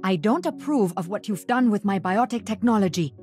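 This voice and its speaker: An actress voicing a caring, principled woman doctor in a video game, speaking softly and precisely. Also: mid-range voice, expressive, a little fast, lightly acted. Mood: gentle concern. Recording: game clip with music behind.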